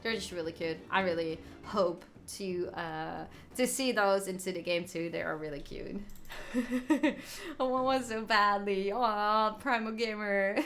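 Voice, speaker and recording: A young woman from the Netherlands with a Dutch accent, chatting excitedly and giggling on a gaming live stream as she reads out viewers' comments. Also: mid-range voice, unhurried, slight background noise. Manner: excitable, giggly, reading chat aloud. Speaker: gaming livestreamer. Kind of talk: casual live stream chat.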